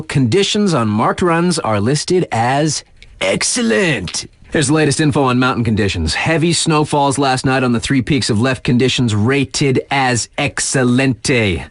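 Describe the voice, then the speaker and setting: A man playing a wry, animated radio DJ. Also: on the high side, dry recording, natural voice. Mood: upbeat, wry. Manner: wry, slangy, casually hip. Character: laid-back, wisecracking male mountain radio DJ. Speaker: radio DJ giving mountain updates. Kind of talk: playful radio bulletin, scripted.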